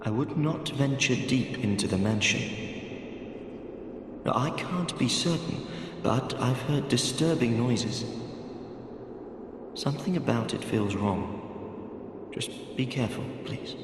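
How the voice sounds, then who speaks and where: A man voicing a gentle, absent-minded wandering mapmaker in a video game, courteous and hesitant, his thoughts drifting. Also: mid-range voice, animated, takes it slowly, long pauses. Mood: cautious, friendly. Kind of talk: video game character dialogue. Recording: echoey long reverb, clear background noise.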